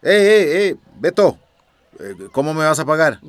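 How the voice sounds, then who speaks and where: A man's voice: on the high side, lively intonation, unhurried, no room sound. A man with a Central American accent playing a cautious, businesslike migrant smuggler in a radio drama.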